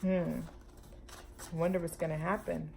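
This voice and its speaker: A woman's voice: on the low side, level tone, moderate pace, vocal fry, darkish tone. A woman reading a children's story aloud expressively, as a storytime host speaking to young listeners. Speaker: storytime reader.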